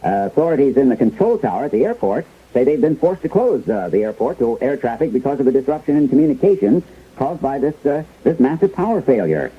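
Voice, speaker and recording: A dull, muffled old radio recording of a male news anchor. His husky voice swings in pitch as he stumbles and hesitates through bulletins, flustered yet trying to keep calm, in the manner of a 1970s radio drama. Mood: flustered, urgent. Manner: halting, hesitant, stumbling delivery.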